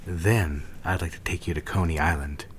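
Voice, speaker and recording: Actor playing a low, husky romantic suitor. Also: male voice, fairly even intonation, moderate pace. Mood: affectionate, coaxing. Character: smooth, attentive male suitor, intimate and coaxing. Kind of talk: intimate dramatic dialogue.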